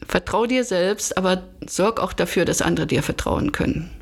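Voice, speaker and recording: A woman's voice: on the low side, moderate pace, breathy edge. A woman from Berlin speaking as a podcast guest and coach, giving considered, structured answers in a conversational interview style. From Germany, Berlin.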